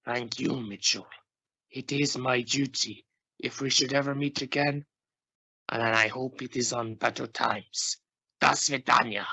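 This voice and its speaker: A man playing a solemn, formal Russian space agency spokesman in an amateur radio play, speaking with deliberate regret. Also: mid-range voice, steady tone, vocal fry, pushed and bright, slightly rough, exaggerated voice. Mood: formal farewell. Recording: dull, noisy home-made radio play recording.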